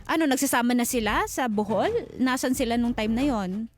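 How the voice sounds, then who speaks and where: A woman co-hosting a casual radio advice chat, reacting with animated exclamations. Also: fairly high pitch, a little fast. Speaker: radio co-host. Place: radio studio. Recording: music bed behind voice.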